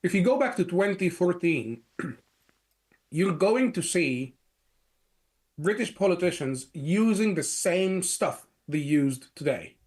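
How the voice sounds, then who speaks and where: A man's voice: high-pitched, animated, takes it slowly, darkish tone. A middle-aged man from Egypt, a guest answering interview questions in long runs full of "you know" and restarts. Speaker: guest, security analyst.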